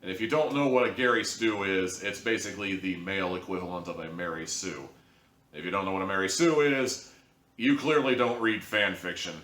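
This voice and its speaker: A man's voice: low voice, a bit bright. A man hosting an opinionated review, speaking in an exasperated, emphatic way. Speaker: host, audio drama reviewer. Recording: echoey room, distant from mic.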